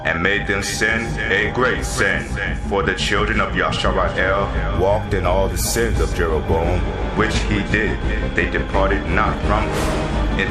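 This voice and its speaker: Male narrator for dramatized scripture: low voice, solemn and declamatory, clear music bed underneath. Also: echoey room.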